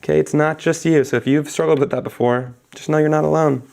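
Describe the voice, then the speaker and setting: A man's voice: a little high, steady tone, fast, creaky, darkish tone. A young man, sniffly after being sick, chatting enthusiastically as he narrates each step of a live card-making tutorial.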